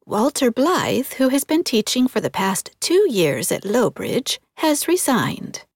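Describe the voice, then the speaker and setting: A woman's voice: fairly high pitch, huge pitch swings, unhurried, husky, no echo, clean studio sound, voice pushed up, heavily acted. A woman voicing a prim, touchy older housekeeper in a storybook, speaking in a formal, pointed way. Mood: formal, reading out.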